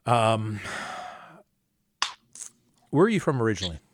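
A man hosting an interview, asking rapid, halting questions full of fillers. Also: on the low side, big pitch swings, no echo. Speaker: interview host. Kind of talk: podcast interview.

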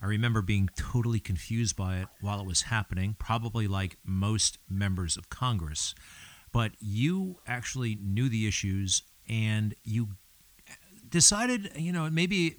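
A man interviews a guest with a low voice, asking long questions full of fillers. The recording is dry and close. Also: no echo. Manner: chatty, hesitant questions with fillers. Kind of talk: conversational interview.

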